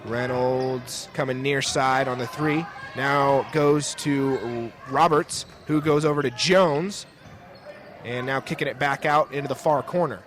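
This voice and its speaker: A man's voice: mid-range voice, fairly even intonation, a little slow. A young man doing live radio play-by-play commentary of a basketball game from inside a school gym. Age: young adult. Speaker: play-by-play announcer. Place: indoor school sports gym. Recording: noisy courtside gym, ball bounces behind. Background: gym ambience, bouncing basketballs, clear noise.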